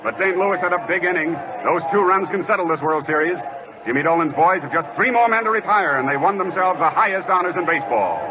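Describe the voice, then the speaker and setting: A man's voice: high voice, fast, close to an everyday voice. A man playing a radio baseball announcer in an old radio drama, calling the game in dramatic, punchy phrases. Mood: excited. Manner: dramatic, punchy short calls. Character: excited male radio baseball play-by-play announcer. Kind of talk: 1940s-50s radio drama sports commentary. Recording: muffled old radio recording, roaring crowd, noise. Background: loud stadium crowd.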